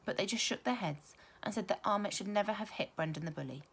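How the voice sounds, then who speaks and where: A woman teacher reading a children's story aloud, with expressive, emphatic storytelling. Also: medium pitch, fast, slightly rough.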